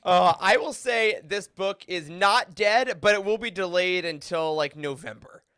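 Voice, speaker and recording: A host of a fan roundtable, chatting casually in an animated, jokey way. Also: medium pitch, a little slow, clear, resonant tone. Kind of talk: casual chat, hosting.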